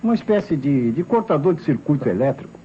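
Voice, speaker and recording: An actor playing an eager, opinionated junior police detective in an old Brazilian radio mystery, with a Brazilian accent. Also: male voice, fairly high pitch, big pitch swings, fast. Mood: eager, sure. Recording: muffled old radio recording, faint music.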